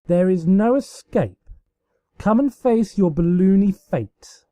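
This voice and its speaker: A wicked, gleeful pony princess gloats like a storybook villain, voiced by a man. The pitch is somewhat raised and very animated. The taunts come out grandly, with theatrical relish. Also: slow, no room sound.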